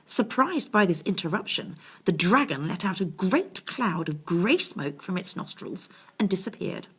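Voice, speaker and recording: A woman's voice: lowish voice, breathy edge. A woman reading a children's bedtime story expressively, with confiding asides to her young listeners.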